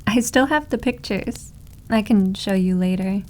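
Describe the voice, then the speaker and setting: An unhurried, medium-pitched voice from a woman acting as a possessive yandere maid in an ASMR roleplay. She coos her endearments slowly and sweetly, teasing and doting with a controlling streak. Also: a little mellow, natural voice. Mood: sly, doting. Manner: cooing, sugary, drawn-out endearments.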